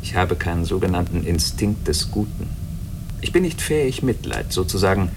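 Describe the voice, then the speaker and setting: A coolly logical android, animated pitch. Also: male voice, normal speed, noisy background. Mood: detached, reflective. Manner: articulate, lecturing, self-assured. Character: human-looking male android, coolly logical, detached, faintly mocking. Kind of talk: German radio drama acting.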